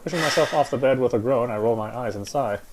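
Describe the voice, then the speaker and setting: Guy reading visual novel narration out loud, mid pitched voice. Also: fast, noisy background. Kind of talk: reading first-person story narration aloud.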